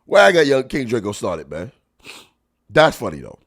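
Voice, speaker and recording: A man hosting a podcast, a stand-up comic chatting and reacting to clips, with a stuffy nose and loud laughs. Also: mid-range voice, moderate pace. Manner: animated, laughs loudly, chatty slang. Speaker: podcast host and stand-up comedian. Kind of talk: casual chat reacting to clips.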